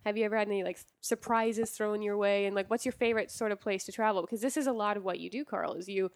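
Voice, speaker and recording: Brief: female interviewer, mid pitch, close dry sound, brisk and chatty. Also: fairly even intonation, dry recording. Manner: quick, chatty questions. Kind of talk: casual interview.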